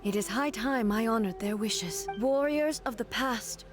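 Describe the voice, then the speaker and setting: A woman voicing a solemn, regal warrior god in a video game, speaking in a formal, measured, grave way. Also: a little high, over music, close to an everyday voice. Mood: solemn reverence. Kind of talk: scripted video game voice acting.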